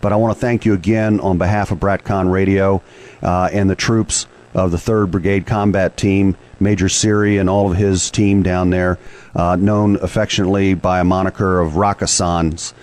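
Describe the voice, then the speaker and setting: A man's voice: low voice, level tone, moderate pace, darkish tone. A man hosting a radio interview in a conversational style.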